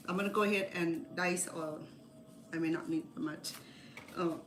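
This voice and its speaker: Woman from Cambodia, casual cooking chat, rambling delivery, strong room echo, clearly noisy background with kitchen clatter. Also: lowish voice, fairly even intonation, moderate pace. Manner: rambling, self-correcting. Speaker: home cook hosting a livestream.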